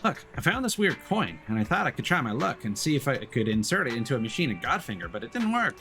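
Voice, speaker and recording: A man's voice: medium pitch, sing-song, fast talker, sharp tone, soft background music. A man voicing a dramatic, hungry schoolkid in a video game, with exaggerated delivery. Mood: eager, confiding.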